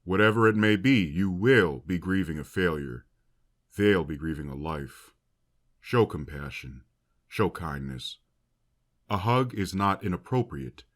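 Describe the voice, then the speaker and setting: A man playing a grave, compassionate academy headmaster in an audio drama, speaking in formal, measured oratory. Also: low voice, expressive, a bit bright, full character voice. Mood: solemn. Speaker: voice actor. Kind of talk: fan audio drama speech.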